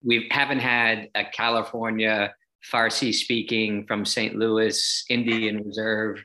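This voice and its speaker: A man's voice: fairly low pitch, level tone, slow pace, bright, forceful tone, low-quality recording. A man co-hosting a podcast, chatting casually with informal banter. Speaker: podcast co-host. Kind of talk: casual chat.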